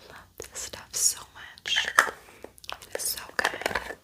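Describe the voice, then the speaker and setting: A woman whispering softly and breathily as an ASMR host, lingering on and repeating her words. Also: drawn-out words. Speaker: ASMR host doing a makeup tutorial.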